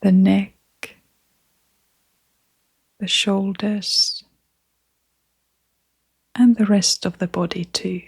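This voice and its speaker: Very slow, breathy woman guiding meditation. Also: mid-range voice, steady tone. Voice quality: soft, breathy, half-whispered. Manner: gentle, soothing, with long pauses. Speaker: meditation guide. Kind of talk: guided meditation narration.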